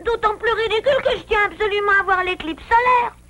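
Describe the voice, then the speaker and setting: A woman's voice: very high voice, a little fast, a bit bright. A woman voicing an eager, curious little boy bear cub in a French cartoon, childlike and earnest. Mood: pleading, upset. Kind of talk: French animated series dubbing.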